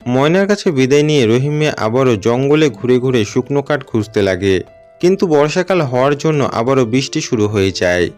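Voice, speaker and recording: A man's voice: medium pitch, lively intonation, a little fast, darkish tone, faint music behind. A man narrating a scripted cartoon story as a storyteller.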